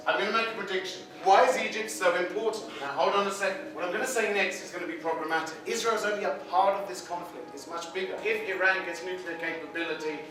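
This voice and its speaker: English man lecturing, high and echoey. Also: quick pace, hardly any pauses. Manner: provocative, self-mocking, halts interruptions. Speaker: guest lecturer. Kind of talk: lively lecture to a group. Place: lecture room. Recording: echoey room, distant mic, music underneath.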